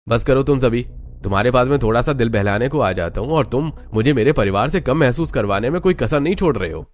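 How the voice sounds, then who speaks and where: A man's voice: medium pitch, animated, quick pace, natural voice. A man playing a lazy, scheming young son in a Hindi cartoon story, with a whiny, cocky manner. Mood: annoyed.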